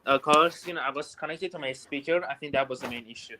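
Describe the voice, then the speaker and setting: A man from Iran with an Iranian accent, calling in to practise English and often pausing on "you know". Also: fairly high pitch, pushed and bright, a little hiss or hum. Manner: hesitant, many "you know" fillers. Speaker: learner calling in. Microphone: remote call audio.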